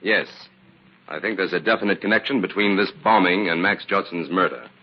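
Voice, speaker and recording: A sharp, steady male detective in a vintage radio thriller. His voice sits low and sounds husky. The old recording is dull and boxed-in. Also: fairly quick. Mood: grave, thoughtful. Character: steady, sharp-minded male crime investigator. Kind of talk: 1940s radio crime drama acting.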